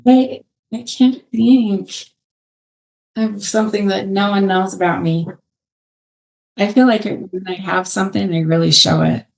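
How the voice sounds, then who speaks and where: A woman's voice: mid-range voice, steady tone, measured pace, vocal fry, rough recording. A middle-aged woman, a fitness trainer, answering interview questions in a hesitant, casual way and laughing often.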